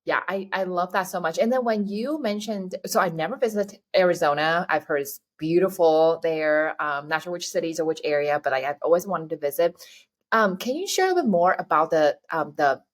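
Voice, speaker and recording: Eager low-voiced podcast host woman. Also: expressive, a little fast. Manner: enthusiastic, self-deprecating. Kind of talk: casual interview.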